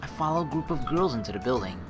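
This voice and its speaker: A woman's voice: a bass voice, lively intonation, fast talker, over music. A woman hosting a let's play, reading game text aloud and breaking off into casual, rambling asides. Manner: casual, rambling, self-correcting. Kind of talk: reading a visual novel aloud with chatty asides.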